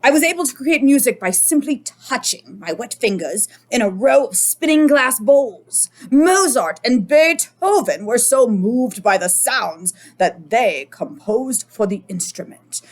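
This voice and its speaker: Lady playing a boastful old inventor guy from a kids book, highish voice, really animated, full of himself. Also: a little slow, hardly any pauses. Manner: self-congratulating, theatrical. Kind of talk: children's picture book read-aloud.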